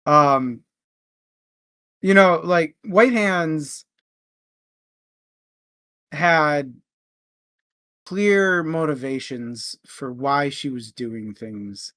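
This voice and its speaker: Unhurried man discussing books, dry close sound. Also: fairly high pitch, fairly bright tone, dry recording. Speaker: book discussion show host. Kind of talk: casual book discussion.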